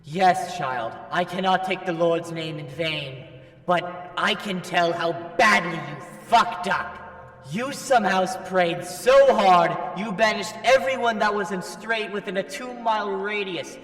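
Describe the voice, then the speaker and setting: A man's voice: high-pitched, takes it slowly, fairly bright tone, roomy sound, in a large hall, soft background music, pitched above the performer's own voice. A man voicing a buff, crude male angel in a fan fiction reading, scolding with fed-up contempt. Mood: scolding, exasperated.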